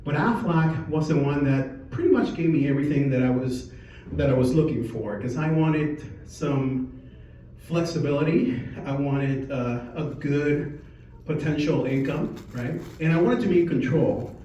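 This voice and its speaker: A man in his forties from Puerto Rico gives an informal presentation, telling a personal story in a conversational, halting way. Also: a little high, mellow, far from the mic. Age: 40s. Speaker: speaker at a company sales meeting. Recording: echoey room from afar, muffled, faint noise.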